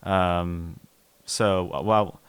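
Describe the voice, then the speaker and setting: Male podcast host, deeper clean voice, very dry sound, chatty roundabout questions. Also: fairly even intonation, drawn-out words, fairly bright tone, clear, resonant tone, dry recording. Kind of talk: conversational interview.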